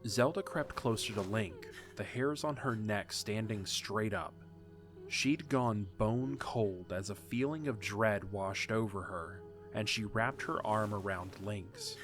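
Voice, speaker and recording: A man with a fairly low voice narrates a story in a steady, measured way. Soft music runs faintly beneath his narration.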